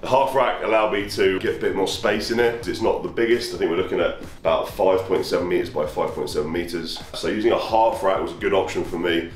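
A man's voice: sits low, quick pace, hardly any pauses. A man, chatting casually as he walks through his home gym and points out the equipment. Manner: chatty, matter-of-fact, walking around pointing at kit. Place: small wooden home gym barn. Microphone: handheld camera close up. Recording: echoey wooden room with faint music.

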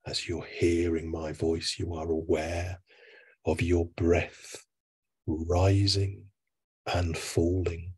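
A man giving guided sleep hypnosis as a hypnotherapist, soothing and hushed, with long pauses between phrases. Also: low voice, flat delivery, breathy edge.

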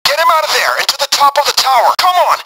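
An actor playing a brisk, commanding man who plans heists, giving clipped mission orders over the radio in a video game. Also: very high-pitched, sing-song, very noisy. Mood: urgent, commanding. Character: brisk, commanding male heist planner directing a gang.

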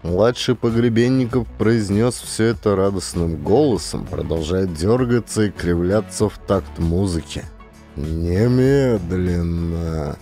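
A man's voice: on the low side, normal speed, hardly any pauses, warm tone, faint music behind. A man narrating a satirical audiobook in a dramatized storytelling style.

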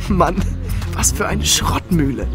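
An actor playing a worried, determined teenage musician in a children's audio drama, talking in casual youthful slang. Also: male voice, on the high side, full character voice.

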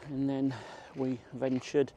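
A man's voice: mid-range voice, monotone, slow pace, mellow, dull audio. A middle-aged man, chatting casually as a vlogger while walking outdoors. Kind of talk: casual walk-and-talk chat. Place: outdoors in a country park. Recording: faint outdoor ambient noise.

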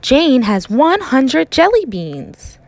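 A woman teacher reading a children's storybook aloud in an expressive, emphatic way with dramatic pauses. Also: sits high, no echo.

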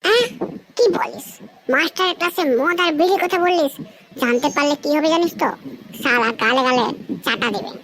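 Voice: sits high, moderate pace, noisy background. A performer voicing a girl-hungry, frustrated schoolboy sidekick in a comedy cartoon, with slangy, complaining banter. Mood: teasing.

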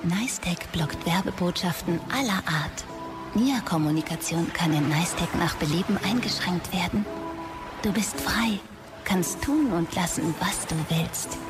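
An actress playing a calm female computer system in an audio drama, formal and soothing. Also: on the low side, measured pace, over music, close to an everyday voice. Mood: serene. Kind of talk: German audio drama acting.

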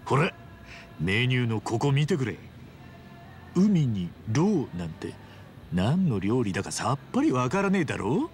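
An actor voicing a frank, big-hearted hero in a Japanese video game dub, speaking rough, emphatic casual masculine talk. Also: male voice, mid-range voice, wildly swooping pitch, a little slow, soft background music. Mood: confident.

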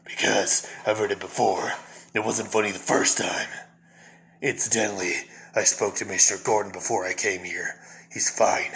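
A man's voice: on the low side, fairly even intonation, moderate pace, gravelly, a bit bright. A man voicing a grim, weary masked vigilante in a stern, restrained way, as a fan reading of a comic. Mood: stern, defiant.

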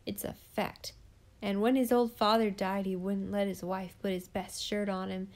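Brief: a woman doing a spiteful eccentric gossip for a storybook, loud, scornful, voice a touch higher than her own. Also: flat delivery, moderate pace, lightly acted. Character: sharp-tongued gossiping eccentric woman in church.

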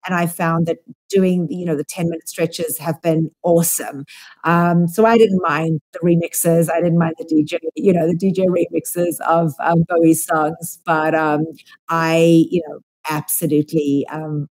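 A woman's voice: fairly low pitch, unhurried, darkish tone, rough recording. A woman in her forties with a South African accent, co-hosting a casual chat over a video call, enthusiastic and full of ums. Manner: chatty, lots of ums, enthusiastic. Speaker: co-host. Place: small room at home. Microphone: webcam on a video call.

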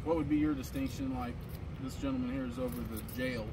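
Brief: measured officer, hesitant, loud street ambience around him. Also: male voice, mid-range voice, steady tone. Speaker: police officer. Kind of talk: calm street conversation. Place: outdoors on a town sidewalk. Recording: loud outdoor street noise.

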